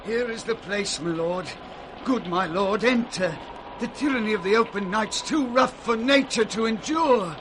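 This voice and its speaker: High-voiced, devoted royal servant. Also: male voice, a little slow. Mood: urgent, pleading. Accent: British. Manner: urgent, respectful. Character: devoted, pleading male servant of the king. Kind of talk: 1960s British radio Shakespeare acting. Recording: noisy 1960s radio recording.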